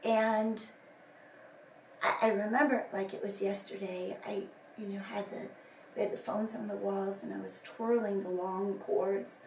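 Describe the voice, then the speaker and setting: A woman speaks slowly in a hoarse, breathy voice that is still recovering, sometimes sinking to a whisper. She rambles through a personal account with many fillers. She is heard from a distance in an echoey room with faint ambience behind her. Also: medium pitch, level tone, a little hiss or hum. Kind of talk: casual personal statement to camera.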